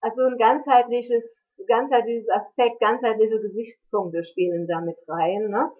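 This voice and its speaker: A woman interviewing a guest, asking thoughtful questions and murmuring soft affirmations. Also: on the high side, steady tone, unhurried. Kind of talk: interview host, warm questions. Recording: tinny phone line.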